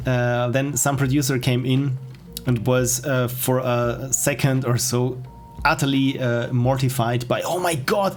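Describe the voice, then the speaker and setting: A middle-aged man with an Austrian accent, chatting casually and rambling as he edits on a livestream, with soft laughs and restarts. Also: mid-range voice. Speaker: streamer. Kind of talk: casual livestream chat while editing. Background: faint music and keyboard typing.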